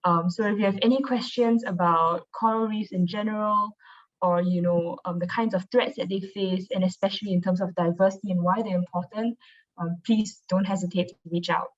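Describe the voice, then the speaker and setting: A woman's voice: medium pitch, fairly even intonation, a little fast. A young woman giving an informal, conversational presentation as a marine biologist over a video call. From Singapore. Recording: dull, lo-fi online call audio.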